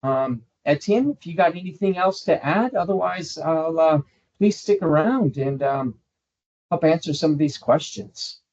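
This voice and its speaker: A man's voice: a little high, expressive, measured pace, a little mellow. Male host, cheerful promotional tone, slightly muffled video call audio. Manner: upbeat, polite, promotional. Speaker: webinar host. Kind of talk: hosting a travel webinar.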